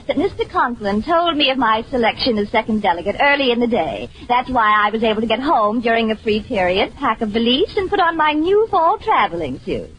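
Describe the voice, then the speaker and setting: A woman's voice: fairly high pitch, a bit bright, poor audio. A woman playing a falsely sweet, condescending rival teacher in an old radio sitcom, with syrupy affected politeness. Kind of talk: 1940s-50s radio sitcom acting. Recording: old radio recording, faint noise, audience.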